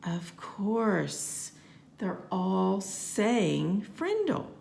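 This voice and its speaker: A woman voicing a curious, friendly female newspaper reporter in a storybook, speaking slowly and politely. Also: medium pitch, very animated, gravelly, a little mellow, slight background noise, exaggerated voice. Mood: quietly amused.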